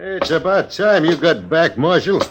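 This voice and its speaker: An actor playing a hurt, impatient frontier man in an old radio western, with a creaky voice. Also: fairly high pitch, sing-song, measured pace, highs cut off. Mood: impatient, pained.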